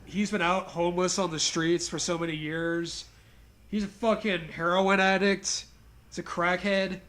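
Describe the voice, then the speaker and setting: A man's voice: high voice, level tone, unhurried, a bit bright. A man reading a horror story aloud as comedy, with casual, joking banter and a readiness to laugh.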